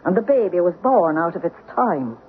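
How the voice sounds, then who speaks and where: An actress playing an older Irish woman who gravely tells family secrets in deliberate, lilting radio-drama storytelling. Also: on the low side, sing-song. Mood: confiding. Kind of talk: old-time radio drama acting. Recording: tinny, noisy old radio broadcast.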